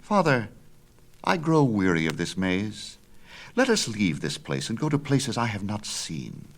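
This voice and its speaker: A male narrator plays a headstrong young son in a dramatic storybook tale. The voice is lifted well above a deep narrator's register and moves in bold swings. He is eager, insistent and persuasive. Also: sing-song, a little slow. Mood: impatient, urging.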